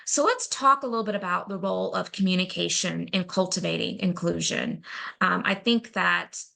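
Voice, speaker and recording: A woman's voice: lowish voice, moderate pace, vocal fry, sharp tone. A woman co-hosting a podcast interview, talking in a conversational and affirming way. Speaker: co-host.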